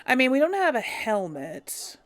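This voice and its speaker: A woman's voice: a little high, sing-song, moderate pace. A woman hosting a let's play, giving casual gameplay commentary and thinking aloud with hesitant restarts.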